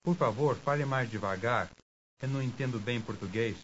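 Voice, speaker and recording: A man's voice: medium pitch, close to an everyday voice. A man playing a courteous foreign visitor, muffled hissy tape. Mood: polite. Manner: deliberate, clearly enunciated, courteous. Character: polite American man asking for directions. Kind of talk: scripted language-lesson dialogue, slow and careful.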